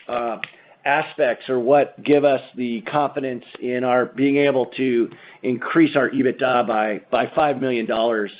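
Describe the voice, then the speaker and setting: Male company executive, American accent, average pitch, methodical explainer, thin telephone sound. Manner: methodical, point-by-point business explanation. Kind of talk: earnings call answer, explanatory. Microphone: conference call phone line. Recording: muffled narrow phone-line sound.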